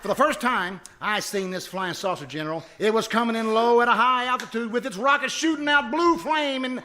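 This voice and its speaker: A man playing a boastful, folksy, excitable husband in old-time radio comedy, blustering and hesitating. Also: very high voice, brisk, slight background noise. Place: live before an audience at a winery.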